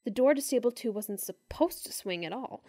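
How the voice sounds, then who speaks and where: A woman narrating a first-person story in a measured way, sinking to hushed tones in tense moments. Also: a little high, lively intonation, dry recording, clean studio sound. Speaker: audiobook narrator.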